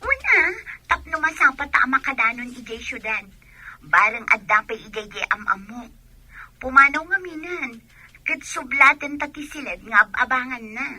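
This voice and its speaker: A young Ilocano-accented village woman, speaks in a high, bright voice with a husky edge. She is ambitious and stubborn, pressing every point as in a radio drama. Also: expressive, normal speed, exaggerated voice. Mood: determined.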